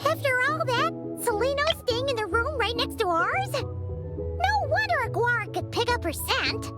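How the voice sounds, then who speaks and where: A woman's voice: very high-pitched, sing-song, a little slow, over loud music, heavily acted. An actress playing an earnest, curious young woman who keeps pressing with questions in a game cutscene. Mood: pleading. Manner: persistent, pleading, eager questions. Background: game score.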